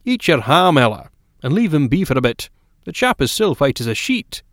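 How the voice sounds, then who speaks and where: Man acting a blunt, forceful-spoken newspaper man. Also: fairly high pitch, sing-song, no echo, clean studio sound, voice pushed up. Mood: bluntly chiding. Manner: brisk, forthright, emphatic. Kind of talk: audiobook character dialogue.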